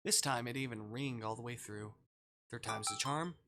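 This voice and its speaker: A male streamer reading a visual novel aloud dramatically, with expressive, exasperated delivery and asides of his own. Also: medium pitch, normal speed. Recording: faint music behind the voice.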